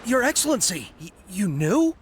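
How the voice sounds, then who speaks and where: A man's voice: high voice, big pitch swings, normal speed, a little hiss or hum. A man playing an earnest, devoted young soldier in a video game, speaking with pleading, deferential emotion. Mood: startled, hesitant. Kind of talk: scripted video game voice acting.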